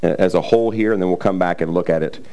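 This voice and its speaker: A middle-aged man preaching a sermon, a little raspy, talking in a loose conversational way with frequent fillers and throat clearing. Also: low-pitched, fast, darkish tone. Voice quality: slightly raspy from cheering. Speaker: pastor. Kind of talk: sermon with scripture reading.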